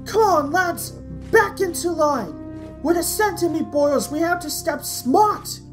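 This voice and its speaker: Man playing brisk army sergeant, audiobook, raised high voice, commanding calls, faint music. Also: lively intonation, full character voice. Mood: urgent rallying. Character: brisk male army first sergeant rallying his soldiers.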